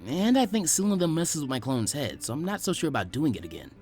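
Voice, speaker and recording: A man's voice: fairly high pitch, big pitch swings, fast, a little mellow, soft background music. Man doing a wry, battered teenage ninja boy. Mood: weary, wry.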